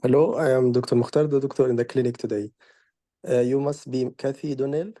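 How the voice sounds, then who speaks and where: A man's voice: mid-range voice, fairly even intonation, a little fast, warm tone, no echo. A man playing a doctor taking a patient's history, polite and formal, with slightly awkward phrasing. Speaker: doctor in practice consultation. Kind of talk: role-played clinical history taking.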